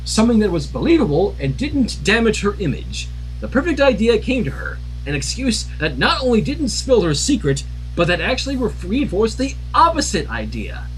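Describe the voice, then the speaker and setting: A man reading a fan story aloud in an animated narrating style. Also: sits high, normal speed, slight background noise, rough recording. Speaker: fan fiction reader.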